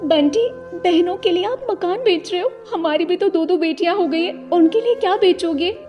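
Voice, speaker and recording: Cartoon mother bird, played by a woman: high-pitched, plaintive, poor and troubled, over clear music. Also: normal speed, mellow. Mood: worried. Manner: plaintive, pleading. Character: distressed poor young mother bird, tearful and worried.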